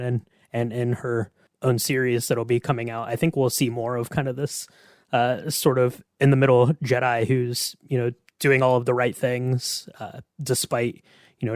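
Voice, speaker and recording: A man's voice: mid-range voice, dry recording, studio quality. A man hosting a podcast in a casual discussion, speaking conversationally. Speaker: podcast host.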